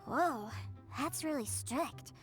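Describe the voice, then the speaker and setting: A woman voicing an excitable, adoring little boy in a video game, eager and childlike. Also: sits high, slow pace, soft background music. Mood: curious. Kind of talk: English video game voice acting.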